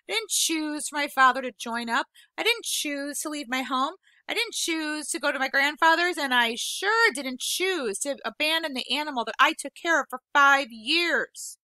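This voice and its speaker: Narrator voices a defensive, tearful boy, pitched up and bright. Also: no echo. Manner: raw, defensive, voice rising in protest. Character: upset 12-year-old boy, frustrated and tearful.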